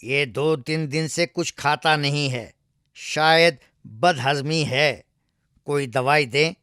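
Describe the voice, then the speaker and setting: An irritated village landowner, acted out by a male storyteller. The voice is forceful and clear, with an indignant, complaining manner suited to a comic folktale. It sits a little high and sounds dry. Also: takes it slowly, no echo. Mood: concerned. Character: irritated landowner with a sick pet dog.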